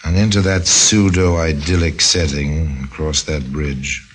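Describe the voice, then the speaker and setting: Very deep-voiced dramatic male mystery narrator. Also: fairly even intonation, a bit bright, a little hiss or hum. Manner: dramatic, deliberate storytelling. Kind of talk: scripted mystery radio narration.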